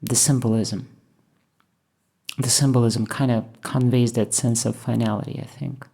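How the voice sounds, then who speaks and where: A woman from Russia, thoughtfully talking over her painting process in a hesitant, musing way with many pauses. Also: extremely low pitch, steady tone, vocal fry, dark tone, breathy edge. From Moscow, Russia. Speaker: painter and channel host. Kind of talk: reflective voice-over while painting.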